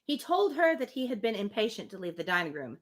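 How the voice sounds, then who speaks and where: A woman reading a classic novel aloud on a livestream in an expressive, informal manner, ready to break off for casual asides. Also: medium pitch, fast talker. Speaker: reader hosting a livestream reading.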